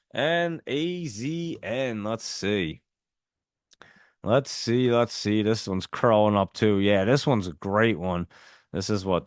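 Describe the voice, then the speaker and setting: A man's voice: lowish voice, smooth, dry recording, clean studio sound. A man with a heavy New Jersey accent, casually chatting through stock picks and viewer messages on a live trading stream. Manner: chatty, reads viewer messages and ticker symbols. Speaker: stock trading livestream host. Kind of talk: casual live market chat.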